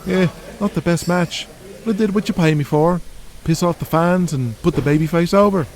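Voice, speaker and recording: A man's voice: high-pitched, moderate pace, a little mellow, slight background noise, pitched above the performer's own voice, lightly acted. A man voicing a cocky, sly wrestling villain in an audio drama, with a smug, taunting and colloquial way of talking.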